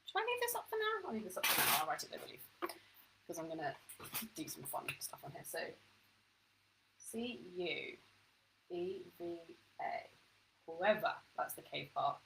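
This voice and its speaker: A woman's voice: on the low side, sing-song, normal speed, vocal fry, long pauses, heavy background noise. A woman teaching a home-education history lesson to camera, chatty and self-deprecating, thinking aloud as she goes.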